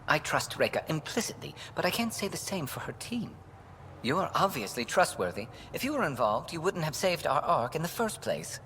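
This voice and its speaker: A retired alien special-forces major for a video game. The voice is creaky and swings widely in pitch, with a dry, frank, shrewd manner and faint noise behind it. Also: male voice, sing-song, normal speed, fairly bright tone. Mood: frank, intent. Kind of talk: video game character dialogue.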